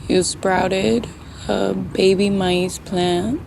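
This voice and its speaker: A woman playing a grown grandchild who speaks softly and reflectively in an audio drama monologue. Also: medium pitch, steady tone, very slow, gravelly, slight background noise, low-quality recording.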